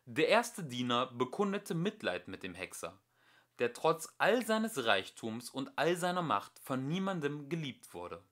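A young adult man reading a fairy tale aloud as an amateur audiobook narrator, with expressive emphasis. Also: medium pitch, unhurried.

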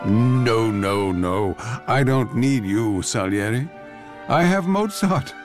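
A man's voice: mid-range voice, lively intonation, slow pace, faint music behind. A man voicing a mocking, contemptuous God in a radio drama, taunting and dismissive with gleeful scorn.

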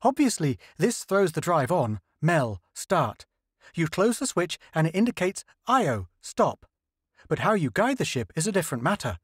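A man's voice: a little high, very animated, moderate pace, slightly rough, no room sound, clean studio sound, voice pushed up. A man voicing a fussy male scientist who explains technical details in a story reading. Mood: explaining. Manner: explanatory, lecturing.

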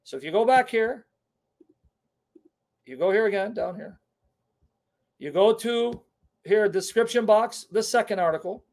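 A man's voice: high voice, expressive, unhurried, pauses often, a little mellow. A middle-aged man whose first language is Syriac, teaching on a live stream from his home kitchen into a webcam, emphatic and repeating key terms. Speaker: online apologetics teacher.

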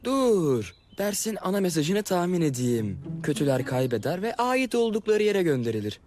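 A man's voice: high voice, big pitch swings, normal speed, music in the background. A man playing a suspicious, smug young amateur sleuth in a cartoon, with a theatrical, insinuating delivery. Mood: accusing. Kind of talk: animated series voice acting.

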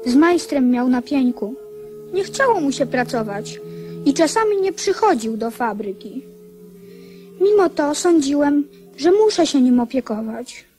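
A woman playing an earnest young boy who gently admonishes, in a radio drama. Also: high voice, big pitch swings, measured pace, faint music behind. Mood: reflective. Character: earnest young boy, a former king turned worker. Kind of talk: radio drama acting with narration.

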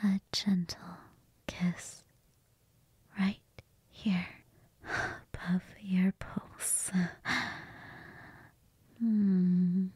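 A woman voicing a cold, hungry female vampire, speaking in a slow, breathy whisper right at the microphone. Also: lowish voice, flat delivery, warm tone, no echo, exaggerated voice. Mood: seductive. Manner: slow, intimate, purring murmurs. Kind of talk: whispered ASMR roleplay. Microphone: close mic.

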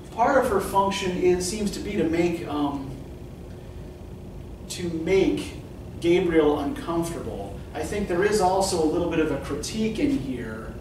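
A middle-aged man teaching literature to a class in conversational lecture style, often checking in with "right?" and asking students questions. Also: on the high side. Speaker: literature professor. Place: classroom with whiteboard. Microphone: far camera at the back of the room. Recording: echoey classroom from far off, noisy.

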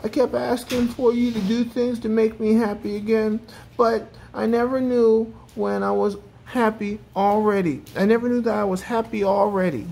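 A reader voicing a greedy, excitable male packrat from a children's storybook, theatrical and exclamatory, whiny when upset. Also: medium pitch, moderate pace, gravelly, a little hiss or hum, voice pushed up, natural voice. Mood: reflective, happy.

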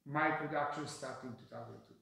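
Italian man, halting English, echoey room. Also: a little high, steady tone, creaky, bright, forceful tone. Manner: halting, searching for English words with many fillers. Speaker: winemaker. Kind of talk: interview answers. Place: bright kitchen-like tasting room, indoors.

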